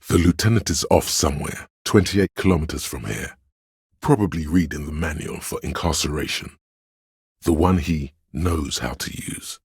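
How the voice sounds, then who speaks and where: An extremely deep, gravelly inner voice. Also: male voice, darkish tone, dry recording, clean studio sound, exaggerated voice. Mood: wry, foreboding. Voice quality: gravelly, husky. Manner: measured, deliberate, dryly ironic. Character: omniscient inner voice of a policeman's instinct, grave, wry. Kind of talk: second-person literary game narration.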